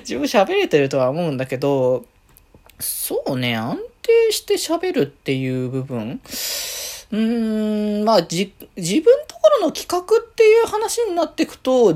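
A man presents a casual fan podcast on his own. His intonation is very animated, rising and falling widely. He thinks aloud as he goes, halting often and stretching out his hesitations. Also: on the high side. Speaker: podcast host.

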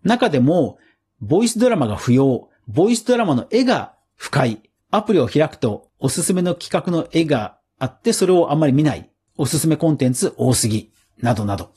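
A man's voice: on the high side, big pitch swings, brisk, a little mellow, breathy edge. A man hosting a podcast, giving casual, explanatory solo commentary on news in a conversational way. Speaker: podcast host.

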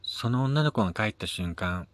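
A man narrating a first-person short story in a measured, dramatic reading with pauses. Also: fairly low pitch, lively intonation, dry recording. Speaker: amateur reader of his own short stories.

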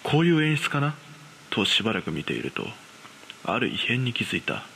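Horror radio drama lead: man playing an ordinary, frightened young guy, measured suspenseful narration, forceful tone, faint hiss. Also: medium pitch, big pitch swings, creaky, heavily acted, poor audio. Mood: puzzled, suspenseful. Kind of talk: dramatised ghost story narration.

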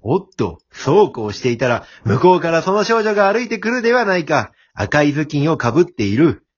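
A man's voice: on the high side, very animated, a little fast, lo-fi sound. A man playing a cocky, scheming wolf who flusters easily, in an amateur radio drama with theatrical delivery. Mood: gloating, scheming. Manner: theatrical, menacing chuckles and smooth-talking.

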